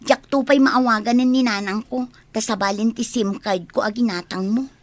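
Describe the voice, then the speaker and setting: Female actor as an aggrieved young woman standing up to her partner, highish, dry radio drama sound. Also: steady tone, moderate pace, no echo, lightly acted. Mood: defensive.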